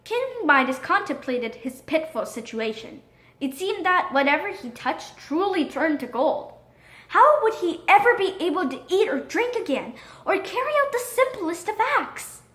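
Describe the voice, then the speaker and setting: Female narrator for children's storybook, high voice, very expressive and dramatic, recorded in an echoey room. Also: moderate pace. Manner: expressive, dramatic read-aloud delivery. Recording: roomy echo.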